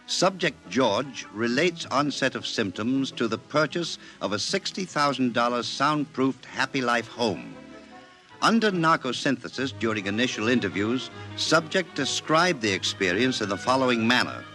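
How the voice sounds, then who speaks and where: An actor playing a formal, measured psychiatrist in a 1950s radio drama, probing and clinical. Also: male voice, medium pitch, very animated, pushed and bright, faint music behind. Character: authoritative male psychiatrist dictating a case report, clinical and probing.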